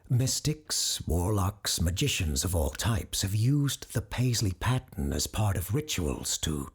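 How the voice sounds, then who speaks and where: A very husky, low voice from a woman acting as a grave occult expert on a supernatural radio show. The delivery is measured and scholarly, with an ominous edge and wide swings in pitch, in audio drama style. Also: heavily acted. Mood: measured, serious. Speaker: radio call-in show host.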